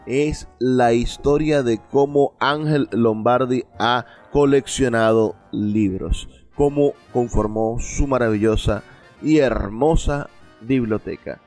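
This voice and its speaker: A man's voice: mid-range voice, slow pace, darkish tone, faint music behind. A man with a Venezuelan accent presenting a radio show, using emphatic, repeated phrasing as he addresses listeners. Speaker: radio host.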